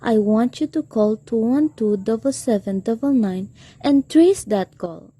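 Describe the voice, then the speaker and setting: Young woman acting a muffled, husky, anxious wife. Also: fairly high pitch, lively intonation, measured pace, warm tone, dry recording. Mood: indignant, urgent. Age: teenager. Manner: insistent, imperious. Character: anxious, demanding, sickly married woman. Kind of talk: amateur radio drama acting.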